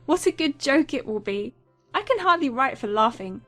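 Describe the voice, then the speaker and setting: Woman acting a giddy, high-voiced teenage girl. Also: sing-song, moderate pace, a bit bright, soft background music. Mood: giddy, gleeful. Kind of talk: dramatized audiobook acting.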